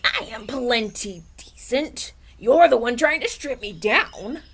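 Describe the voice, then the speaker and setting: A man's voice: very high-pitched, lively intonation, slow pace, fairly bright tone, breathy edge, heavily acted. A man playing a flustered, polite young human prince in a fantasy audio roleplay, stammering and awkward. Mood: indignant. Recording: outdoor nature ambience behind.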